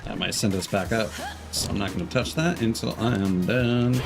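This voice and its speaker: A man giving casual live gameplay commentary, thinking out loud as he plays. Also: fairly low pitch, normal speed, gravelly. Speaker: game streamer. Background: game music.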